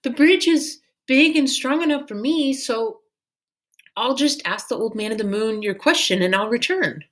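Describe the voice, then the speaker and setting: A woman's voice: on the high side, normal speed, pitched above the performer's own voice, lightly acted. A woman voicing a brave, earnest young girl who encourages others in a storybook. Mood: encouraging, determined.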